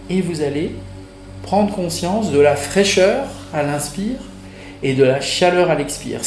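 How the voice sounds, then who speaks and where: A man leading a guided yoga relaxation meditation as instructor, giving measured instructions in short phrases with pauses between them. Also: a little high, a little mellow. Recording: roomy echo, music behind the voice.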